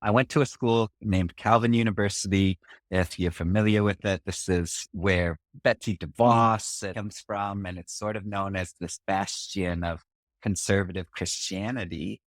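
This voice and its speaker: A middle-aged man from Singapore with a very low, deep voice, speaking as a podcast guest. He tells his story in a measured, reflective way, pausing on fillers. The sound is dry and close, with no room around it. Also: fairly even intonation, no room sound. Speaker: podcast guest, community organizer. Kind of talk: conversational storytelling interview.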